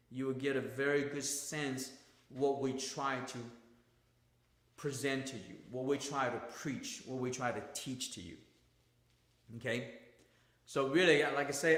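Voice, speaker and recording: A man's voice: medium pitch, roomy sound. Emphatic non-native male golf coach. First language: not English. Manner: emphatic, repetitive lecturing with plain non-native grammar. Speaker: golf instructor. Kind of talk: livestream coaching talk.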